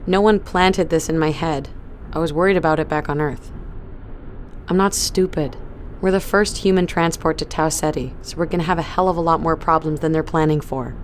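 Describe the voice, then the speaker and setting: A woman's voice: sits low, fairly quick, slight background noise, lightly acted. An actress playing a stubborn young woman on a space crew, blunt and defiant, who swears when pushed. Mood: determined. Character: young woman crew member, stubborn, worried about fiancé. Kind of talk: audio drama acting.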